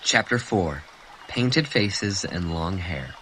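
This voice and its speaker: A man reading a graphic novel aloud in a soft, measured way. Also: low-pitched, lively intonation, slight background noise, poor audio. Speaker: reader.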